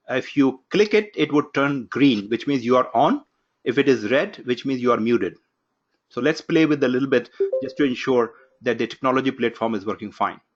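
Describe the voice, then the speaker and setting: A man opening an online course from his home office, giving instructions briskly and calling on participants one by one. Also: medium pitch, highs cut off. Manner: brisk, instructive, takes roll call. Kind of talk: live online class introduction. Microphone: video call.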